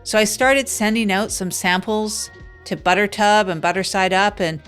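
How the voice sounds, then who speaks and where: A woman plays a wry, down-and-out woman narrating her own schemes in a comedy audio drama. She rambles in a deadpan, self-mocking way at a middle pitch, with faint music underneath. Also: normal speed, clean tone, natural voice. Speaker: narrator of the show. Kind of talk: improvised comic first-person narration.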